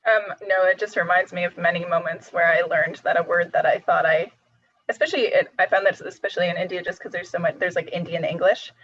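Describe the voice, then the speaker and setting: A woman's voice: on the low side, steady tone, fast, a bit bright, husky. A young woman student researcher reflecting thoughtfully over a video call, hedging and trailing off as she finds her words. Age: young adult. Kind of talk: reflective casual answer. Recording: muffled video call audio.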